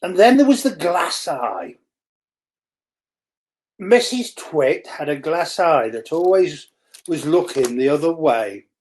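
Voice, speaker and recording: Mellow, slow woman storyteller. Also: sits low, lively intonation. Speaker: school staff member reading aloud. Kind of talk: expressive children's storybook narration.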